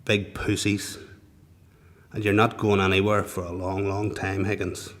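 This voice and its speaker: An actor with a Northern Irish accent playing a sneering, domineering prison authority in a radio play, condescending and sarcastic. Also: male voice, low-pitched, little pitch movement, fairly bright tone. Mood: sneering, menacing. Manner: slow-burning sarcasm, condescending. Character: sneering, domineering male prison authority, taunting and world-weary.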